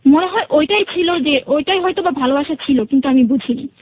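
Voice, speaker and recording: A woman's voice: high voice, brisk, phone-quality sound. A woman with a Bangladeshi accent telling her personal story as an interview guest, hesitating and repeating herself to explain. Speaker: radio show guest.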